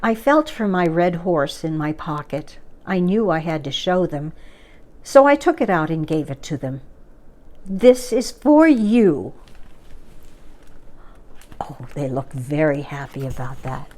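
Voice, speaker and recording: A woman reading a children's picture book aloud as a story time reader, with expressive narration and acted-out dialogue. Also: deep voice. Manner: measured, animated read-aloud with acted dialogue.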